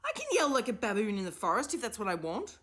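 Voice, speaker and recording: Woman doing a cheeky, high-voiced storybook boy, loud and animated. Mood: defiant. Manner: fast, high-pitched protesting. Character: bold, rebellious schoolboy arguing back.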